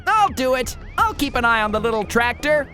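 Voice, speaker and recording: A woman's voice: high voice, big pitch swings, a little fast, bright, forceful tone, faint music behind, full character voice. A woman voicing an eager, naive little vehicle helper in a children's cartoon, childlike and exclamatory. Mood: excited.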